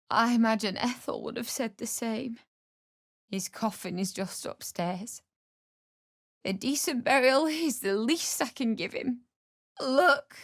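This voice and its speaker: A high-pitched, very lively young village wife in a fantasy game, pleading and tearful as she breaks into anguished cries. She speaks slowly and with weight. The sound is close and dry. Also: dry recording, exaggerated voice. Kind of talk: video game voice acting.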